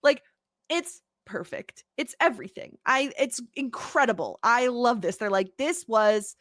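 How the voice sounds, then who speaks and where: A woman in her thirties with an upstate New York accent, co-hosting a podcast in casual chat, bubbly, laughing often and saying "like" a lot, with a slight vocal fry. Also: on the high side, animated, unhurried, a bit bright, dry recording, studio quality. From United States. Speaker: podcast co-host.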